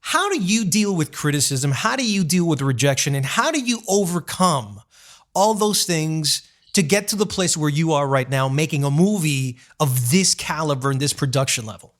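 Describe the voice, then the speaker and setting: A man's voice: a little high, animated, normal speed, fairly bright tone. A man hosting an interview, asking long, curious questions in a friendly way. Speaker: interview host.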